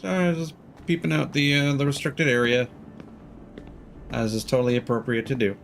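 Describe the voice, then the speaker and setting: A man's voice: mid-range voice, little pitch movement, normal speed, gravelly, slight background noise. A man giving casual, wry gameplay commentary as a streamer, in a laid-back way. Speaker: game streamer.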